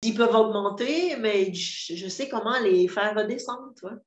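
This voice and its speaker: A woman's voice: mid-range voice, expressive, moderate pace. A woman in her fifties with a Québécois accent, giving a candid, earnest testimony with many hesitations and restarts. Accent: Québécois French. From Quebec. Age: 50s. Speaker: program client giving a testimonial. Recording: roomy echo, dull lo-fi sound.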